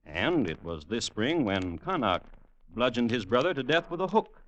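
An actor playing a wry storyteller in a classic radio drama, narrating theatrically with deliberate pauses. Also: male voice, medium pitch, sing-song, lo-fi sound, close to an everyday voice. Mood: wry, expansive. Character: wry storyteller chronicling a village murder tale.

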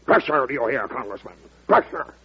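An actor playing a forceful man of affairs in an old-time radio drama, pressing his points emphatically. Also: mid-range voice, big pitch swings, a little fast, breathy edge, full character voice. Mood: angry, demanding. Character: blustering, forceful male businessman or government official. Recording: dull vintage radio recording.

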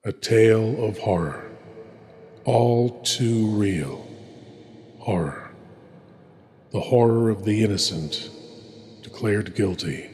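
A man's voice: on the low side, takes it slowly, long pauses, darkish tone, a little hiss or hum. A man hosting a horror show, narrating in an ominous, theatrical manner. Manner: ominous, dramatic.

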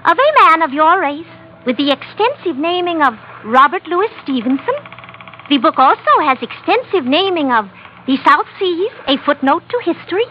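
High-voiced earnest village girl. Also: expressive, normal speed. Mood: eager, sweet. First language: not English. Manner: stilted, formal, flowery phrasing. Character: devoted, earnest young village woman with quaint bookish English. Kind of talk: old-time radio adventure acting. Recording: muffled, noisy vintage radio recording.

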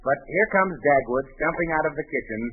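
A man's voice: a little high, lively intonation, a little fast, narrow, tinny audio, a little hiss or hum. A man working as announcer, reading 1940s radio ads and show introductions. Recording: thin old radio recording with faint music.

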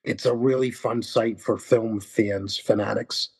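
Husky New York-accented man, rambling. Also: on the low side, fairly even intonation, a little slow, darkish tone. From Connecticut. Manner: rambling, many fillers and restarts. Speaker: podcast guest, film reviewer. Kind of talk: casual podcast chat.

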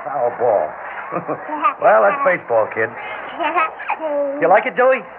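A man's voice: on the high side, sing-song, slow, gravelly, heavily acted. An actor with an American accent playing a fond, childlike working-class father and baseball fan in a 1960s radio drama, chatty and folksy. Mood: excited. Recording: noisy lo-fi old radio recording.

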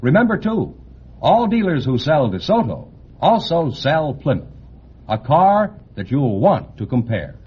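A man's voice: a little high, very animated, measured pace, husky. A man with an American accent reading a 1950s radio advertisement in a polished, salesmanlike way. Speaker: commercial announcer. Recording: muffled old radio recording.

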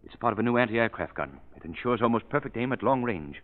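An actor playing an earnest, worried government official in a 1940s radio drama, hesitant at times. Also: male voice, mid-range voice, lively intonation, quick pace, lightly acted. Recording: tinny old radio recording.

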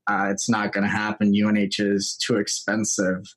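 A young man, telling his story as a podcast guest in a relaxed, chatty way. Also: on the low side, moderate pace. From Puerto Rico. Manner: relaxed and chatty, acts out his own past lines in quotes. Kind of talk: casual conversational storytelling.

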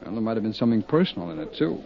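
A brisk friendly cop, fast talker, lo-fi old broadcast with faint hiss. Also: male voice, fairly low pitch, big pitch swings, creaky. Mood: matter-of-fact. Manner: breezy and familiar. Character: friendly, brisk male police officer. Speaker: police lieutenant. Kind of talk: 1950s radio drama acting.

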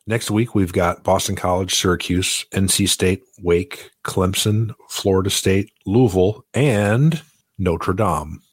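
Deep husky man breaking down betting lines. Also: dry recording, studio quality. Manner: measured, analytical, hedging. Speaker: podcast co-host. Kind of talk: casual sports betting chat.

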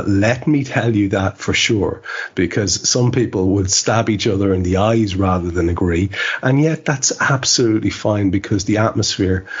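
A man's voice: low voice, slightly rough. An Irish man hosting a podcast in a casual, chatty and rambling way with a wry edge. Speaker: podcast host. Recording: dull, muffled lo-fi audio.

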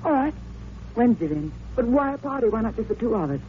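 Haughty high-voiced radio drama columnist. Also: female voice, big pitch swings, fast talker. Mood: coy, flirtatious. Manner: arch, cutting, coquettish. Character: haughty, sharp-tongued female newspaper columnist, flirtatious and guilty. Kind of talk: old-time radio mystery acting. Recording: tinny, noisy old radio recording.

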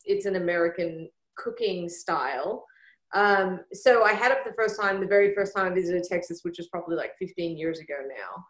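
A woman from Australia with an Australian accent, talking casually as a guest in an interview. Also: medium pitch. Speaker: podcast guest, barbecue cook. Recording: roomy, echoing sound.

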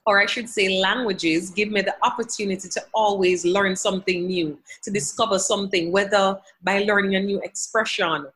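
A woman's voice: mid-range voice, steady tone, normal speed, hardly any pauses, fairly bright tone. A woman guest on a podcast interview, speaking earnestly from her home office and quoting scripture. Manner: earnest, faith-filled, quotes scripture. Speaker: podcast guest, language educator. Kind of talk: conversational interview answers.

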